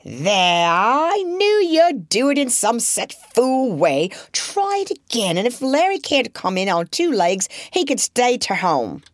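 A woman's voice: sing-song, unhurried, few pauses, dry recording. Bossy rustic storybook mother with a big swooping voice and dry close sound, as a woman puts her on. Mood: severe. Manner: scolding, high-pitched and emphatic. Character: bossy proud poor mother, rustic speech.

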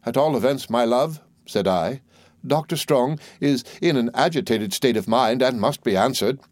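A man's voice: mid-range voice, moderate pace, no room sound, pitched above the performer's own voice, close to an everyday voice. A man reading aloud, voicing a fussy, garrulous older mother who is pleased with herself. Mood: self-satisfied. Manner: gushing, emphatic, self-important.

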